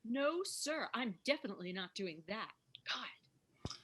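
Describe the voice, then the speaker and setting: A woman's voice: a little high, sing-song, unhurried, fairly bright tone, exaggerated voice. A woman playing a perky young diner waitress, folksy and easily flustered, in a screenplay table read. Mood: disgusted. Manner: folksy, chirpy.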